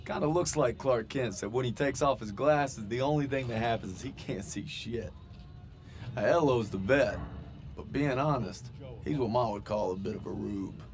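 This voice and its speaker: A man's voice: mid-range voice, moderate pace, faint music behind, lightly acted. A man acting an earnest young soldier, narrating reflectively in a war game. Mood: wry, reflective. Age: young adult. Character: earnest young American soldier, loyal and plain-spoken. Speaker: narrator-protagonist.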